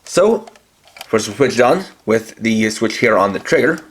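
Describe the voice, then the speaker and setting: A man's voice: fairly low pitch, sing-song, normal speed, slight background noise. A man reviewing toys, walking through each feature in a methodical, step-by-step way. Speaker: toy reviewer. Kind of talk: toy review demonstration.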